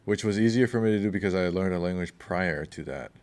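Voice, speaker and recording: A man's voice: sits low, fast, darkish tone. A man co-hosting a podcast, chatting casually and telling long, rambling stories that sometimes lose their thread. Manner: rambling, storytelling, loses his thread. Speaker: podcast co-host.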